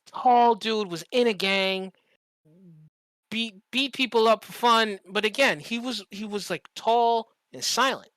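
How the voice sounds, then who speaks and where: A man's voice: high-pitched, a little slow, fairly bright tone, dry recording. A man chatting casually as a podcast host, telling stories in an emphatic, profane way and repeating phrases for effect. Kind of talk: casual chat, animated storytelling.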